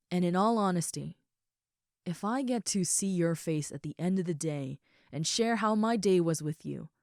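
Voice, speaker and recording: A woman playing a caring, affectionate young ogre roommate in an intimate comfort roleplay, speaking softly and sincerely in a rambling, reassuring way. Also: on the low side, no room sound, clean studio sound. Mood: earnest, rambling.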